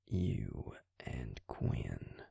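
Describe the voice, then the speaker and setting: A man playing a patient, grave vampire in an intimate audio roleplay, speaking husky, breathy and low, with hesitant, deliberate pauses. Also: warm tone, dry recording. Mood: hushed, cautious. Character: male vampire, patient, grave, quietly explaining lore.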